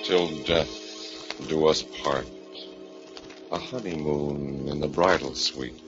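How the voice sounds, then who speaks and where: An actor playing an ominous, theatrical announcer for a 1940s radio horror show. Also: male voice, a bass voice, fairly even intonation, slow pace. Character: ominous male announcer of a midnight murder show. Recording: dull old radio recording, music behind.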